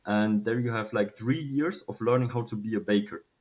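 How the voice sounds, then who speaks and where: A man, telling a personal story casually and haltingly, with some swearing. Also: lowish voice, darkish tone. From Switzerland. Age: early 20s. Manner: halting, informal, swears freely. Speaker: podcast guest, young entrepreneur.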